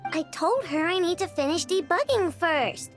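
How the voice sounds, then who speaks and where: A serious little girl inventor from a video game, very high in pitch. She is stubborn, blunt and firm. Faint music plays behind her. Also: expressive, moderate pace. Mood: focused, insistent.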